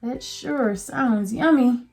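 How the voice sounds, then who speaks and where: A woman's voice: a little high, slow pace, gravelly, a little mellow, faint music behind. A woman doing an eager little boy.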